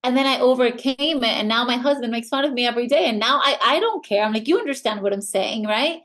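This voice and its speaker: Woman, foreign accent, fairly high register, motivational team-call coach, emphatic delivery. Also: fast, fairly bright tone. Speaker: sales team mentor.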